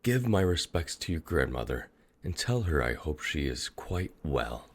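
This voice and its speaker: A man voicing a sly, courteous big wolf in a storybook reading, feigning gentleness. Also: rumbling low, big pitch swings, measured pace, a little hiss or hum, exaggerated voice. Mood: sly politeness.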